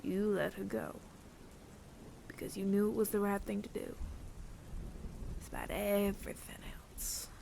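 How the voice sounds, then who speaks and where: Man acting a breathy, creaky, world-weary bounty hunter. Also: high-pitched, level tone, a little slow, long pauses, a little mellow, slight background noise, exaggerated voice. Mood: quiet, reflective. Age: older. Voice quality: breathy, often near-whispered. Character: world-weary male android bounty hunter with reluctant mercy. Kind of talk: intimate ASMR audio roleplay.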